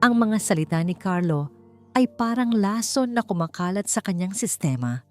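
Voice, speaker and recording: A woman's voice: medium pitch, expressive, moderate pace. A woman narrating an audiobook in a dramatic, scripted storytelling style. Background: background music.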